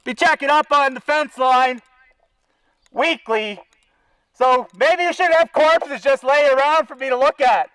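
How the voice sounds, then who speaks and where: Canadian male activist, extremely high-pitched voice through a bullhorn, dry and echo-free outdoors. Confrontational, sarcastic, profane protest delivery. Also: unhurried, a bit bright. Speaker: animal-welfare activist. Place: outdoors by a zoo fence.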